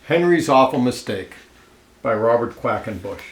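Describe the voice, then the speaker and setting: A man reading a children's picture book aloud expressively, pausing to comment and ask listeners questions, in an echoey room. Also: mid-range voice, lively intonation, a little hiss or hum. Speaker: storybook reader. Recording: roomy, echoey indoor sound.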